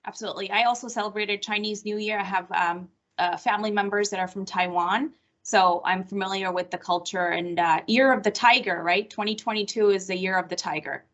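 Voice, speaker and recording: A woman's voice: mid-range voice, fairly even intonation, a little fast, fairly bright tone. A woman with Sri Lankan roots moderates a roundtable in a mid-range voice. She affirms each guest and hands the floor on. The audio is muffled and lo-fi. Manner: affirming, introduces speakers.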